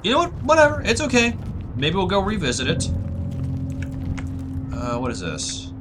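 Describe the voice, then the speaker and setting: A man with a husky, tired voice, musing aloud in relaxed let's-play commentary as a gaming channel host. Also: high voice, moderate pace, very noisy.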